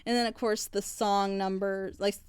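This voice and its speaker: A woman's voice: mid-range voice, flat delivery, moderate pace, clean tone, no echo. A woman hosting a group reaction video, critiquing a story in a passionate, rambling way. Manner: frustrated, rambling, emphatic. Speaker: reaction channel host.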